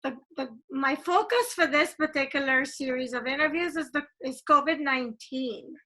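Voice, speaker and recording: A woman's voice: high-pitched, moderate pace, bright, forceful tone. A woman from Guyana hosting a casual video-call interview, chatty and eager, her statements often rising like questions. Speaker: interview host.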